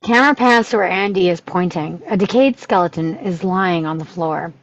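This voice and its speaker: A woman's voice: on the low side, normal speed, dry recording. A woman reading from a screenplay in a dramatic table read, with a dull, muffled sound. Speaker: reader at a script table read.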